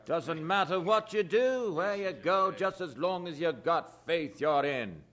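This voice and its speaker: An actor playing a cynical, tippling minister in an audio drama, with a mocking, glib manner. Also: male voice, a little high, lively intonation, measured pace, crowd noise. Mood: smug, jovial. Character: cynical, tippling, self-justifying tavern-going minister.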